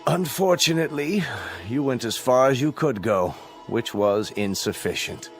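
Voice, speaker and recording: Casting: male actor for cruel, smug totalitarian chancellor, radio play; formal, menacing courtesy, average male pitch, light music underneath. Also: lively intonation, normal speed, close to an everyday voice. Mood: condescending. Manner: formal, condescending, mockingly polite, menacing. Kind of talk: radio drama acting.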